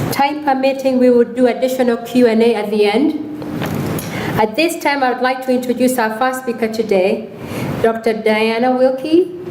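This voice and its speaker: Woman moderator reading a formal introduction. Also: a little high. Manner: formal and steady, reading a prepared bio. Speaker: symposium moderator introducing speakers. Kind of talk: formal introduction read aloud. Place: lecture hall. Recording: echoey hall with noise.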